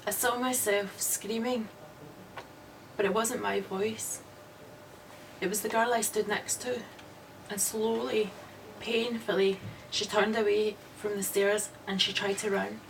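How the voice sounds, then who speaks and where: An actress playing a haunted woman who narrates her nightmare slowly and dramatically in an old radio suspense drama. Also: medium pitch, level tone, gravelly. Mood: haunted dread. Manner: slow, dramatic, dread-laden. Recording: distant, noisy old radio recording.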